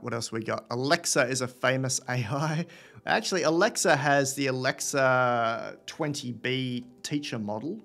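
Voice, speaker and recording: A man's voice: medium pitch, creaky, fairly bright tone. A man in his thirties hosting a livestream Q&A from a room at home, talking casually into a webcam, thinking aloud and reading out viewer questions. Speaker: host answering viewer questions.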